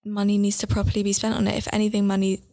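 A woman's voice: medium pitch, monotone, mellow. A young woman talking earnestly as a guest author in a casual chat, with words running on and plenty of "like" and "you know". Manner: earnest, fast-flowing, lots of "like" and "you know".

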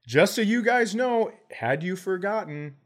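A man's voice: sits high, lively intonation, a little slow. An American man co-hosting a comedy podcast, telling casual stories in a profane, joking, storytelling manner.

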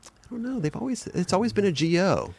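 A male podcast host chatting casually and loosely, his voice slightly raspy from a sinus cold. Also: high-pitched, big pitch swings, fast. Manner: loose, laid-back, peppered with "dude" and "man". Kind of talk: casual chat. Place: studio.

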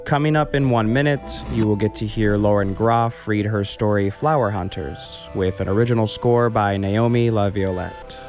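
A man's voice: on the low side, steady tone, normal speed, hardly any pauses, music in the background. A man hosting a podcast, reading a scripted introduction. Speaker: podcast host. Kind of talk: scripted radio theater introduction.

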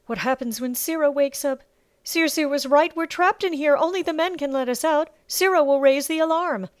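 A woman's voice: sits high, moderate pace, dry recording, voice pushed up, close to an everyday voice. A woman voicing an anxious, tender-hearted young slave girl in an audiobook, urgent and tearful. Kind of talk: audiobook character acting.

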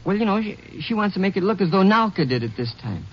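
A sheepish boy from ranch country speaks in a high, rough-edged voice that jumps around in pitch. He is hesitant, evasive and respectful. The recording is a dull 1950s radio drama. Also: sing-song, fast talker. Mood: sheepish confessing. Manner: hesitant, deferential.

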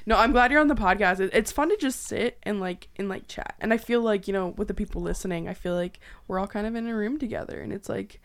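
A woman hosting a podcast in casual chat, talking in an informal, excitable, chatty way. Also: mid-range voice, fast talker, few pauses. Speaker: podcast host.

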